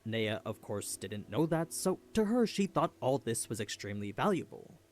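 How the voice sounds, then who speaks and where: A man's voice: medium pitch, big pitch swings, moderate pace, soft background music. A man reading scripted narration for an explainer video.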